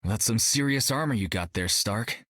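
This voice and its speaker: A man's voice: on the low side, animated, moderate pace, sharp tone, dry recording, clean studio sound. A young actor playing a brash, cocky male martial artist in a video game, joking and upbeat. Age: young adult.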